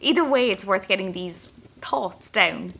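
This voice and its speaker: A woman's voice: medium pitch, animated, measured pace, gravelly, fairly bright tone, slight background noise. A woman with an Irish accent playing an anxious, wry young intern confiding in her diary in an audio drama. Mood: wry, restless. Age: young adult. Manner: intimate, wry, self-mocking.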